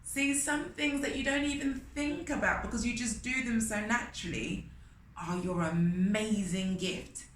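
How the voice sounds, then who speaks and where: A woman reading a story aloud to young children, expressive and often putting questions to her listeners. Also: a little high, a little slow. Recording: roomy echo with faint noise.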